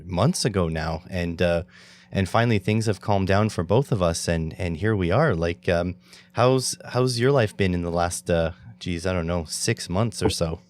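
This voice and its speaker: A man's voice: deep voice, normal speed, a little mellow. A man from Canada hosting a podcast, chatting in a friendly, enthusiastic way with lots of fillers. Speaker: podcast host. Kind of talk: casual interview chat.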